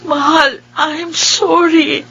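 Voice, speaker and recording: A woman's voice: high voice, level tone, drawn-out words, fairly bright tone, dull audio, a little hiss or hum, heavily acted. A woman acting a remorseful, devoted wife in a Filipino radio drama, emotional and heartfelt. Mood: tearful, pleading. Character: Jade, remorseful, devoted once-blind young wife.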